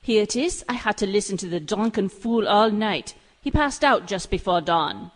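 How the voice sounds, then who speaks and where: A woman's voice: medium pitch, animated, moderate pace, slight background noise, lightly acted. An actress playing a female German spy posing as a demure secretary in a comic audio drama, with a German accent and clipped speech. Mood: brisk, cold.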